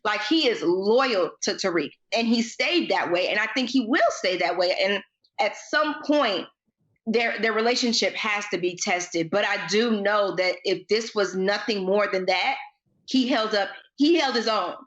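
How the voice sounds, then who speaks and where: A woman's voice: mid-range voice, a little slow, fairly bright tone. An American woman co-hosting a casual TV recap, speaking in an emphatic, opinionated way. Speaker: podcast co-host. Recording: slightly dull, lo-fi sound.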